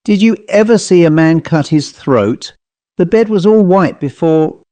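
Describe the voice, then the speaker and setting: A man's voice: fairly high pitch, measured pace, warm tone, dry recording, pitched above the performer's own voice, close to an everyday voice. A man voicing a plain-spoken travelling salesman telling a grim storybook tale in a dry, colloquial, conversational manner. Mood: grim, frightened. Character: haunted male commercial traveller, plain-spoken teller of grim tales. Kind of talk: dramatised storybook monologue.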